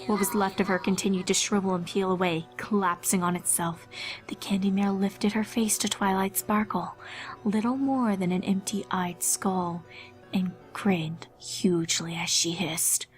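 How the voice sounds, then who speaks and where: A woman's voice: medium pitch, flat delivery, measured pace, soft background music. A woman narrating a scripted horror fan fiction story.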